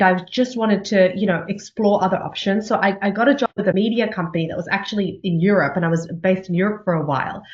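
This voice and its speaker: Chatty Australian woman in an echoey room. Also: medium pitch. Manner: chatty, quick, many fillers and restarts, laughs easily. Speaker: journalist and news anchor being interviewed. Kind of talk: conversational interview. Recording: echoey room, slightly dull sound.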